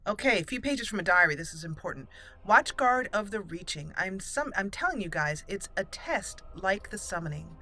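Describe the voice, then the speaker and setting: A woman hosting a roleplay game playthrough, commenting and reading aloud deliberately as she thinks. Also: mid-range voice, lively intonation, sharp tone. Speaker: let's play host. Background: game music.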